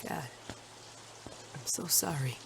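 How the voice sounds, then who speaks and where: A woman's voice: low-pitched, slow pace, gravelly, slight background noise, full character voice. A woman playing a wary, wounded detective in an audio drama, blunt, terse and wry but caring.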